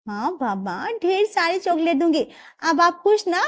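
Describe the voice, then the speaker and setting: A woman voicing a gentle young daughter-in-law who speaks softly and sweetly. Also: extremely high pitch, sing-song, measured pace, voice pushed up, full character voice. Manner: soft, sweet, polite.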